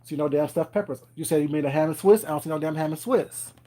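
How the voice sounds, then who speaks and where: A man's voice: on the high side, fast, dark tone. Chatty man, fillers and teasing. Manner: rambling, many fillers and "you know what I'm saying"; laughs often, teasing viewers. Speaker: live stream host chatting with viewers. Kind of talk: casual chat.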